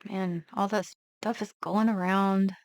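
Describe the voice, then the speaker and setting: Relaxed woman thinking aloud, dry sound. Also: mid-range voice, unhurried, no echo. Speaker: nail art vlogger. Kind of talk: casual step-by-step narration while working.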